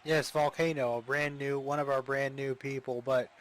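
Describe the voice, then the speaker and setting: A man's voice: mid-range voice, little pitch movement, normal speed. A man commentating on a wrestling show in a casual, hesitant way, often restarting his words. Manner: hesitant, many fillers and restarts.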